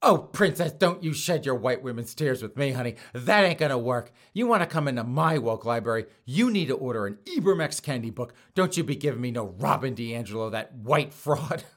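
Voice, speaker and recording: A hoarse man voicing a sassy, haughty female librarian who scolds a visitor. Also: mid-range voice, animated, brisk, hardly any pauses, natural voice. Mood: sassy, scolding.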